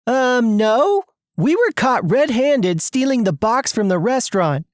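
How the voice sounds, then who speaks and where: A narrator voicing an anxious young woman in an animated story, incredulous and full of questions. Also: mid-range voice, unhurried, no echo, studio quality, pitched above the performer's own voice. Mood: confused, indignant.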